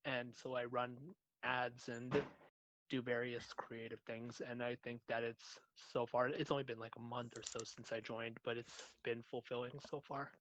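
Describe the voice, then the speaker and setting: A man from Canada co-hosting a podcast, chatting casually in a measured, matter-of-fact way. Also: mid-range voice, flat delivery, a bit bright, dry recording, rough recording. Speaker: podcast co-host. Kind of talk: casual chat.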